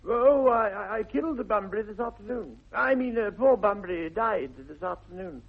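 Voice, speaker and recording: An actor playing a young upper-class English gentleman in a 1960s radio comedy, stammering and evasive. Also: high-pitched, normal speed, husky. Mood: flustered. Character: flustered, flippant young gentleman, a nephew. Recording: tinny, band-limited vintage radio recording.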